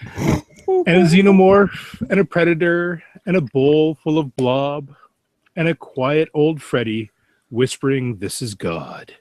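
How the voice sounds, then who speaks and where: A male podcast panelist reads a parody bedtime story. He takes it slowly and evenly paced, in a dry recording with little echo. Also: on the high side, animated, darkish tone.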